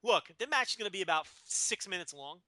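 A man's voice: high voice, animated, fast, bright, forceful tone, no echo. A man in his thirties co-hosting a podcast, chatting casually about wrestling, joking and laughing as he talks over his partner. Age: 30s. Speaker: podcast co-host.

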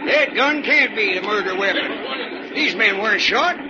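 An actor playing a gruff, skeptical small-town sheriff in an old-time radio adventure. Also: male voice, high voice, animated, unhurried, a loud crowd. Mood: doubtful, baffled. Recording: muffled, very noisy old radio recording.

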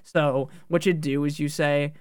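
A man hosting a fantasy football podcast, talking casually with profane, joking asides and sudden jumps in pitch on key words. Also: little pitch movement, measured pace, clear, resonant tone, dry recording, studio quality.

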